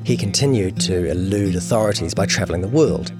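A man's voice: sits low, animated, a little fast, music in the background. A man narrating a scripted documentary podcast.

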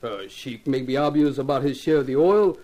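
A man's voice: medium pitch, brisk, warm tone, natural voice. A man playing an easygoing, deferential ex-seaman turned guard, talking in a folksy, colloquial way in a 1950s radio drama. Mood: wary warning.

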